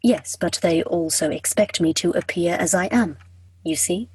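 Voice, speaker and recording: Woman as a very husky, formal Grim Reaper. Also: fairly low pitch, a little mellow, poor audio. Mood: formal, reserved. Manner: stiff, formal, measured diction. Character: Death the Grim Reaper, formal, literal, reserved and solemn. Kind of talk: radio play acting.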